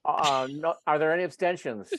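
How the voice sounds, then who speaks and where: A man's voice: fairly high pitch, steady tone, a little fast, fairly bright tone, slight background noise. A man chairing an online board meeting, running it in brief procedural remarks. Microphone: video call.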